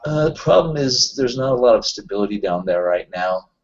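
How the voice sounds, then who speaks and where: A man's voice: medium pitch, expressive, brisk, dull audio. A man roleplaying a helpful, opinionated bar companion in a tabletop game, explaining things conversationally.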